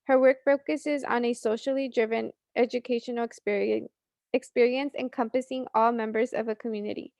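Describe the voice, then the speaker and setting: Higher-voiced woman with a gravelly edge. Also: darkish tone, no echo, lo-fi sound. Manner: measured, read-aloud. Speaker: program host. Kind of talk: reading a speaker introduction.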